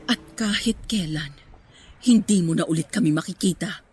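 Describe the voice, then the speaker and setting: A woman's voice: lowish voice, normal speed, music in the background, lightly acted. A woman playing a guarded, firm single mother in a radio melodrama, emotional and defensive, with a Filipino accent. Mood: stern warning.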